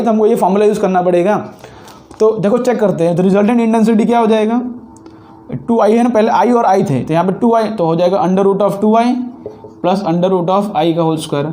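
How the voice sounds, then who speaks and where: A man's voice: high-pitched, normal speed, warm tone. A man with a sore throat teaching physics, working through exam problems step by step and repeating the key points. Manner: explains step by step, repeats key points, mixes Hindi and English. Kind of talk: solving exam problems on a board.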